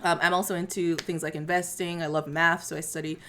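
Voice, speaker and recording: A woman's voice: on the low side, level tone, fairly quick, fairly bright tone. A young woman co-hosting a casual podcast, talking with many fillers and restarts. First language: English. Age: young adult. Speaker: podcast co-host.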